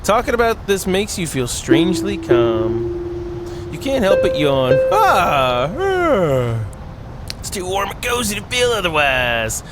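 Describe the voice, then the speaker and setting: A man doing casual let's play commentary and reading a visual novel aloud, with playful asides and dramatic reading. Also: a little high. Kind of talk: casual gameplay commentary and read-aloud narration. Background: game music.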